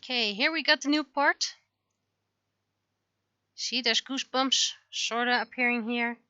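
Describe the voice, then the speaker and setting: Bright-voiced woman streaming casually. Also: fairly high pitch, a little slow, no room sound, dull audio. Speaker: livestreamer, webcomic and visual novel creator. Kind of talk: casual livestream commentary on own work.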